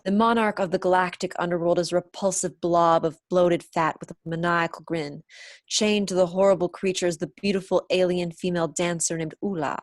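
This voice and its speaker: Low-voiced young woman narrating a script. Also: fairly even intonation, brisk, no echo, clean studio sound. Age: young adult. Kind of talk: scripted narration, reading stage directions. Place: room at home, on a video call.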